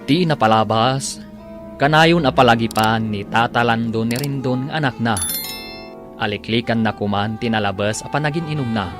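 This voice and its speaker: A man's voice: medium pitch, moderate pace. A man narrating a radio drama as its announcer in a scripted, declamatory, emphatic style. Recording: prominent musical bed. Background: music.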